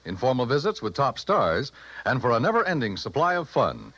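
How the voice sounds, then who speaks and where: Highly animated male radio announcer. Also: no room sound. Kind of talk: 1950s radio announcing, scripted promo.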